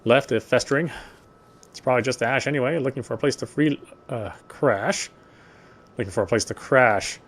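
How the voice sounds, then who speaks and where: A man reading a horror game story aloud, with expressive, lingering narration. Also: medium pitch, moderate pace. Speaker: let's player reading a visual novel aloud.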